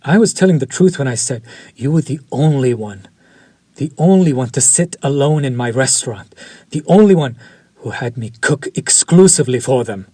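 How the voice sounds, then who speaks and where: An actor playing a refined, possessive male vampire chef who speaks formally, slowly and with quiet menace, in an audio roleplay for a listener. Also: medium pitch, expressive, husky, dry recording. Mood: smug. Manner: slow, formal, seductive and menacing.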